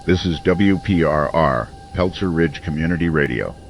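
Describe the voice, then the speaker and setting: A man playing a brooding, earnest small-town radio host in an audio drama, delivering a measured, portentous monologue. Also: a bass voice, soft background music. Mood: solemn. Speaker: late-night community radio host.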